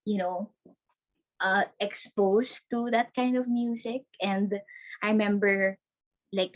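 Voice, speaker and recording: A woman's voice: mid-range voice, rough recording. A young woman from the Philippines, a podcast guest, chatting in a bubbly way with frequent laughs and many "like"s over a laptop video call from her bedroom. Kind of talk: casual video-call interview.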